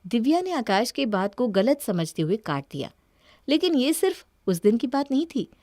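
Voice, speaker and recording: A woman's voice: fairly high pitch, big pitch swings, moderate pace, a little mellow, dry recording, studio quality. A woman reading scripted story narration.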